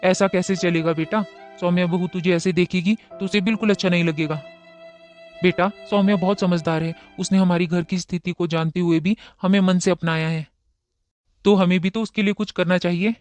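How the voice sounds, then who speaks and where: A man voicing a kind, worried middle-aged father in a cartoon, speaking in a gentle, coaxing, steady manner. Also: sits high, normal speed, faint music behind, natural voice. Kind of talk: Hindi cartoon dubbing.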